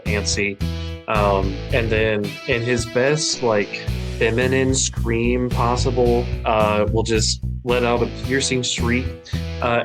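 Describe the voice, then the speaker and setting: A woman playing a tabletop game, explaining her character's move hesitantly with many pauses. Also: a bass voice. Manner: hesitant, full of ums and uhs. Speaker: player. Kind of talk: casual play talk. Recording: guitar music playing behind.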